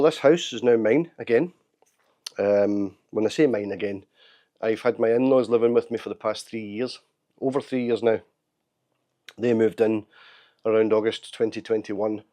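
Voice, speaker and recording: A middle-aged man talks openly to his viewers, pausing and restarting as he goes. His voice is hoarse and fading. It sits low and mellow. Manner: open, candid, halting with restarts. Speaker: channel host. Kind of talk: casual video update. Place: living room at home.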